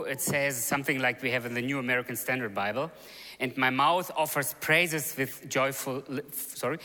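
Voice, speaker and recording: Man, chapel sermon, bright voice, reverberant hall. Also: medium pitch. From born in Russia, grew up in Germany. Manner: animated storytelling, sudden loud rises and soft drops, searches for words. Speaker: seminary chapel speaker.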